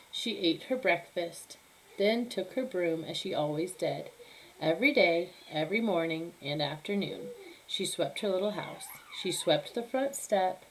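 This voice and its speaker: A woman reading a picture book aloud to children in an animated, emphatic storytelling manner. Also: on the low side, low-quality recording.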